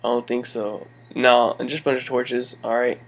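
A man's voice: mid-range voice, little pitch movement, moderate pace. Laid-back young man touring his game world. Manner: rambling, laid-back, many fillers and laughs. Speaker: gaming channel host. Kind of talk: casual game walkthrough commentary.

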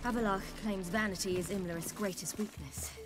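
A determined young warrior woman from a fantasy game, acted by a woman, with a medium-pitched British voice. Her delivery is earnest and formally phrased, with faint music underneath. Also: fairly even intonation, fairly quick, lightly acted. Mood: focused. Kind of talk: cinematic fantasy game acting. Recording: music behind the voice.

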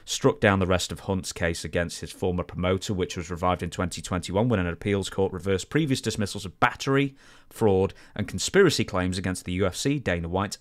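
A man co-hosting a podcast, talking casually through sports news and explaining it. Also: low voice. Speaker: podcast co-host.

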